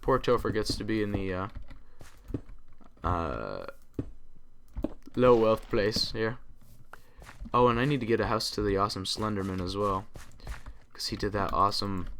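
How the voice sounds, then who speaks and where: A young man hosting a gaming channel, tired and a bit raspy, rambling casually to himself as he plays. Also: lowish voice, expressive, a little slow, long pauses, fairly bright tone. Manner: rambling, talks to himself while playing. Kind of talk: casual gameplay commentary. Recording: clear typing noise behind. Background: keyboard typing and clicks.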